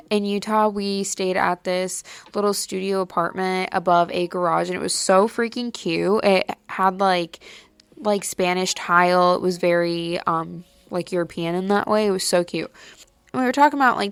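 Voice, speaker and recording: A woman's voice: medium pitch, clean tone, dry recording. A young woman hosting a podcast, chatting casually and rambling with lots of "like", her nose a little stuffy. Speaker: podcast host. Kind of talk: casual solo chat. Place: at home late at night.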